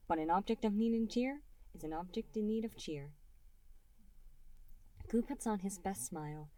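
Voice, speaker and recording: A woman reading a fan fiction story aloud as an audiobook narrator, in an expressive storytelling manner. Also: mid-range voice, normal speed, no room sound. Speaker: reader and writer of the story.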